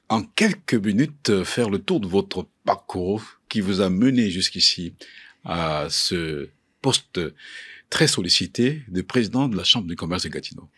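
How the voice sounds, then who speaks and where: A male journalist asks his guest formal questions and nudges her along with short interjections. His voice is very deep and husky, and the sound is dry and close to the mic, with no room echo. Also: a bit bright. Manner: formal, prompting with brief backchannels.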